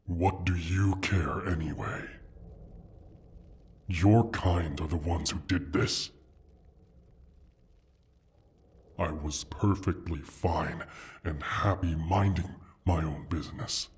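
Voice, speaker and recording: A man playing a proud, injured dragon in a fantasy audio roleplay, menacing and pompous, with a husky, breathy rasp. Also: low voice, a little hiss or hum. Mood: haughty, menacing. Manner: pompous, disdainful, halting theatrical delivery.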